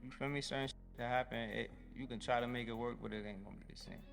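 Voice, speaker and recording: A man's voice: medium pitch, fairly even intonation, fast, creaky, fairly bright tone, long reverb tail, faint music behind. A man guesting on a radio show, talking casually in laid-back slang. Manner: laid-back slang, says "you feel me" a lot. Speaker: guest artist. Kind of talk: casual interview chat.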